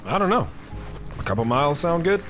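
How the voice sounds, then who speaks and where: Man playing tender dad, very expressive, over music. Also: on the high side, fairly quick. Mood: easygoing. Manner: tender, reassuring. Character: loving, reassuring, playful father of a young girl. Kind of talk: audio drama dialogue.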